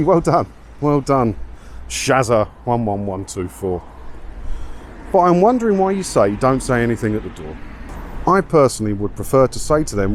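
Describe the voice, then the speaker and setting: A man with a mid-pitched voice talks casually to his viewers, reading out and answering comments. He repeats himself to press a point and tags questions onto his sentences. Wind and passing traffic are clearly audible on the outdoor recording. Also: darkish tone. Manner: conversational, repeats points for emphasis, rhetorical tag questions. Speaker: vlogger. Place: outdoors, walking around a seaside town. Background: wind, passing cars, street ambience.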